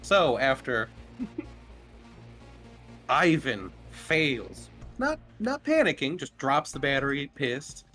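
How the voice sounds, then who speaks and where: A man's voice: mid-range voice, very animated, extremely slow, pauses often. A man casually narrating a tabletop game as game master, jokey and given to swearing. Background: soft music.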